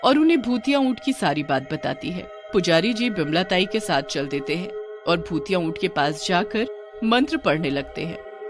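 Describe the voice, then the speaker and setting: A woman giving scripted storytelling narration as the narrator of a tale. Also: lowish voice.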